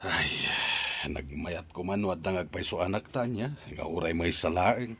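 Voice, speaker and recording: A man's voice: low-pitched, unhurried, creaky, fairly bright tone, exaggerated voice. A man playing a practical, grumbling husband of a landlady in an Ilocano drama. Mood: reflective. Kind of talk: Ilocano radio-style comedy drama acting.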